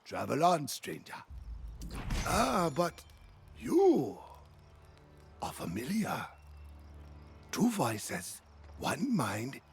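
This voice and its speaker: An actor playing a cryptic, forgetful old hermit in a video game, musing in riddles with halting phrases. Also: male voice, fairly high pitch, huge pitch swings, vocal fry, a little hiss or hum, exaggerated voice. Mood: wry, musing. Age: older. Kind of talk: video game character dialogue.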